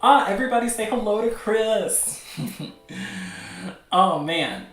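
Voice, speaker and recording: A man hosting a casual livestream, talking in a gushing, excitable, rambling way peppered with "like". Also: high voice, takes it slowly. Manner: gushing, excitable fan enthusiasm; rambling, heavy use of "like". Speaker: livestream host. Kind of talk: casual livestream chat about webcomics. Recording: echoey room, distant from mic.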